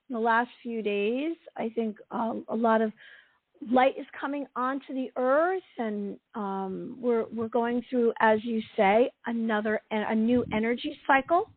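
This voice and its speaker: An East Coast American woman co-hosts a spiritual talk show in a fairly high voice that is still recovering. She speaks earnestly and halts now and then to find her words. The sound is dry and tinny, like a phone call. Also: no room sound. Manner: earnest, halting with fillers. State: recovering from lost voice. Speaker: co-host, intuitive wisdom coach. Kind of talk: casual spiritual radio talk.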